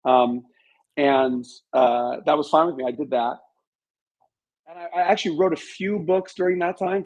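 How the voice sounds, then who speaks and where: Middle aged guy being interviewed, regular pitch, chatty and candid, kinda self-deprecating storyteller. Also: darkish tone, low-quality recording. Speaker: interview guest, author and business owner.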